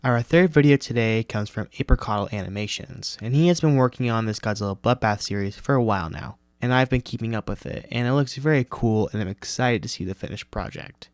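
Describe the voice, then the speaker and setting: A man's voice: medium pitch, fairly quick, hardly any pauses, no room sound. A man hosting a video review, narrating and commenting from a script. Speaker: YouTube host reviewing horror videos.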